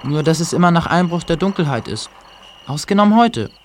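A man's voice: on the high side, animated, brisk, slight background noise, lightly acted. A male actor playing a serious, helpful boy in a German audio drama. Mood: calm, matter-of-fact. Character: Mike, helpful serious boy who lives among wild animals.

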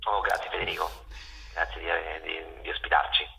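Very raspy, low-voiced man on the phone. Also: level tone, bright, forceful tone. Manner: halting, with repeated words. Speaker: festival organiser and interview guest. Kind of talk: spontaneous radio interview answers. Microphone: phone call to radio studio. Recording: dull, lo-fi telephone line.

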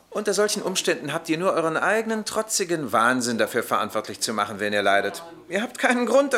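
An actor in a radio drama playing a stern Roman army captain who makes formal, clipped, coolly ironic pronouncements. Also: male voice, medium pitch, pushed and bright. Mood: coolly official.

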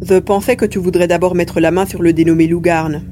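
A woman playing a small, plump, furry male mercenary creature who explains things in a measured way in a science fiction audio drama. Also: on the low side, level tone, warm tone, slight background noise, close to an everyday voice. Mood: composed.

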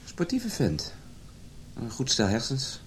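An actor playing a dutiful, persuasive husband in conversational Dutch radio play style. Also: male voice, medium pitch, huge pitch swings, slow pace, a little hiss or hum. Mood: explaining. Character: dutiful, persuasive husband, loyal to his club friends.

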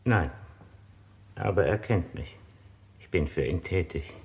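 Modest, patient visitor in a vintage radio play: very deep voice, reserved, polite. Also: male voice, measured pace, vocal fry. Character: modest, patient male stranger waiting at club. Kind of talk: mid-century radio drama acting.

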